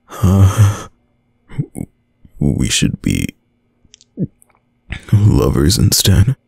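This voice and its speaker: A man playing a shy, smitten young student in an intimate boyfriend roleplay, deep-voiced, with a hesitant, stammering manner. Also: creaky, darkish tone, no room sound, heavily acted. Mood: shy, tender. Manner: hesitant, stammering, nervous chuckles. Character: shy, smitten young male student gamer, a caring crush.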